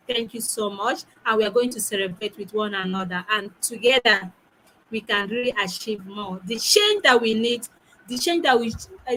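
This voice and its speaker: A woman with a squeaky voice, hosting an online talk show in an effusive manner, repeating her phrases. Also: bright, forceful tone, a little hiss or hum, poor audio. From Nigeria. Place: online video call.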